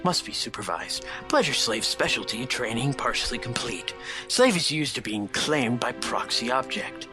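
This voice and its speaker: A man voicing a stern, matter-of-fact male hedgehog anti-hero in a fan fiction audio drama, with measured, clipped delivery. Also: mid-range voice, big pitch swings, lightly acted. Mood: flat, formal. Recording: music behind the voice.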